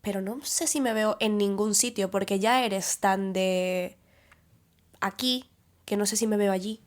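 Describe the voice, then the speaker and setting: A young woman from Venezuela with a Venezuelan Spanish accent, talking through her thoughts in a relaxed podcast chat, with pauses and restarts. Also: medium pitch. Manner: reflective, thinks aloud with fillers and restarts. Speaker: podcast guest. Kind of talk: casual conversation.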